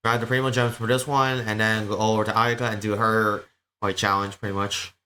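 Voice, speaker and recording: Low-pitched man rambling on a game stream. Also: little pitch movement, brisk, pushed and bright, slight background noise. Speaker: livestreamer. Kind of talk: casual gameplay stream commentary.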